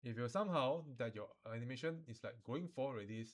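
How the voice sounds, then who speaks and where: Male software instructor, Malaysian or Singaporean accent, mid-pitched voice, informal step-by-step delivery with colloquial particles. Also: expressive, fast. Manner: colloquial phrasing with sentence-final particles like lah and lor.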